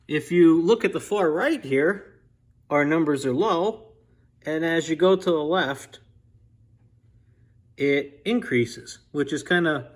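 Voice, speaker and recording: An American man giving a casual, unscripted product demonstration in a measured, explanatory way. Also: on the high side, a bit bright. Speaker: vintage radio collector presenting a video review.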